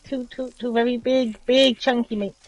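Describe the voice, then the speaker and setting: A woman in her forties, hoarse and losing her voice, chatting playfully and teasing while gaming on a stream. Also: fairly high pitch, steady tone, moderate pace, dry recording, highs cut off. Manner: playful, teasing, coos at animals. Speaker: streaming co-player. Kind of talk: casual chat while gaming.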